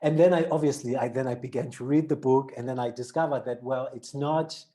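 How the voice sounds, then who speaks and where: Man, south african accent, interviewer, dark mellow voice, reads then asks questions. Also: medium pitch, fairly even intonation, fairly quick. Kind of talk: book interview.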